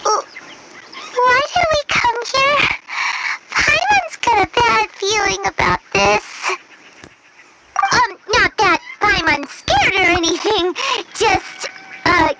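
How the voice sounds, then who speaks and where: A woman voicing a tiny, excitable, childlike fairy companion in an anime-style video game, squeaky, timid but bossy. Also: slow, heavy background noise, heavily acted. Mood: nervous. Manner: refers to self in third person, exclamatory.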